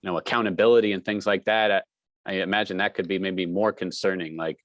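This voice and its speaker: A man's voice: low-pitched, steady tone, fast, fairly bright tone, no echo, clean studio sound. A man, a sports reporter asking friendly, rambling questions at a press conference.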